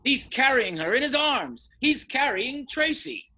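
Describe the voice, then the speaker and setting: Man acting a sharp, husky, indignant fiancé. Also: very high voice, big pitch swings, unhurried, heavily acted. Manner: blustering, accusing. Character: indignant, jealous stuffy fiancé, suspicious man. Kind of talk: radio play acting.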